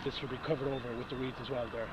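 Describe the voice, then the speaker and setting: A man's voice: mid-range voice, little pitch movement, racing. A middle-aged man, a company director explaining things on an outdoor tour in a hesitant, patient way. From Ireland. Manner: hesitant, explains with fillers and restarts. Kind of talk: casual explanatory tour talk. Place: outdoors by a reed-bed pond. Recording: dull outdoor camera audio with noise.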